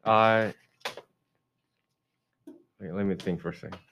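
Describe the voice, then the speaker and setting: Man from South Korea, low smooth voice, hesitant and brief, dull lo-fi recording. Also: fairly even intonation, slow, a bit bright. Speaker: interviewee. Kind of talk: casual interview.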